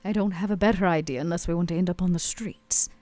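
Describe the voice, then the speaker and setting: A woman playing a haughty, world-weary vampire club owner in a tabletop game, speaking in a measured, dry way. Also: fairly low pitch, steady tone, darkish tone, dry recording, close to an everyday voice. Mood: weary, bitter.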